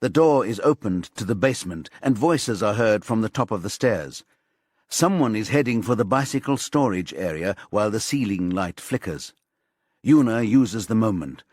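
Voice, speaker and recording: A man reading scripted thriller narration as an audiobook narrator, with measured, suspenseful storytelling. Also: fairly low pitch, animated, a little mellow, dry recording, studio quality.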